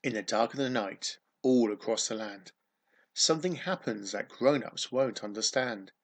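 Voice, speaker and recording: A man's voice: mid-range voice, moderate pace. A man reading his own rhyming children's story aloud, expressively, with dramatic emphasis and sing-song refrains. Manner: dramatic emphasis on key words.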